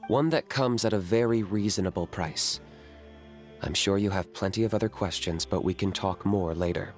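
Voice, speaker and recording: Low-voiced, coolly blunt game character. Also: male voice, soft background music. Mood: composed, serious. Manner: matter-of-fact, unhurried, dry. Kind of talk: video game character acting.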